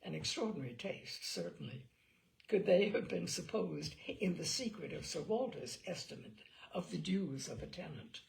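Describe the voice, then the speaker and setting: A woman reading a classic novel aloud as an audiobook narrator, in an expressive storytelling style. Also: on the low side, normal speed, creaky, rough recording.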